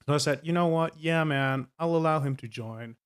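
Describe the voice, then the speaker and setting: A man's voice: a little high, normal speed, smooth. A man in his thirties with a Swedish accent, explaining himself to viewers in a casual, hesitant way, full of ums and restarts. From Sweden. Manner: hesitant, many ums and uhs, self-correcting. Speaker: game master.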